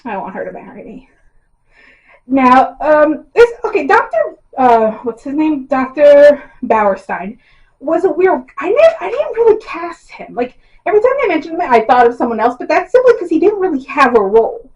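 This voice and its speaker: Hoarse rambling woman, lo-fi sound. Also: high-pitched, very animated, moderate pace, a little mellow. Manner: rambling and self-deprecating, with frequent ums and laughs. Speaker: YouTuber casting book adaptations. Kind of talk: casual chat to camera. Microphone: built-in camera mic, no external mic.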